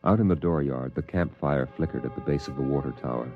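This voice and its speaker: An actor playing a reflective man recalling his boyhood, narrating a vintage radio drama in measured, descriptive storytelling. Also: rumbling low. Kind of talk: scripted first-person radio drama narration. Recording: muffled old radio recording. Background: prominent music.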